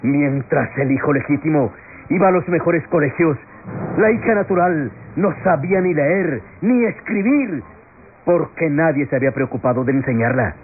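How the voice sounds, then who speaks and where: An actor playing an impassioned defense lawyer in a classic radio melodrama, declaiming solemnly to a jury with rhetorical questions and dramatic pauses. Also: male voice, on the high side, animated, lightly acted. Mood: accusing, reproachful. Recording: tinny, noisy old radio recording.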